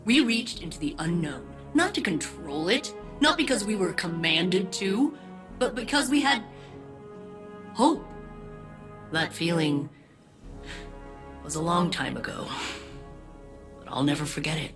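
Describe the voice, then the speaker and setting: An actress playing a wistful veteran explorer from a lost age in a video game, with an earnest, unhurried delivery. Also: female voice, on the low side, lively intonation, music in the background. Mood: wistful, hopeful. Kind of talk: scripted video game acting.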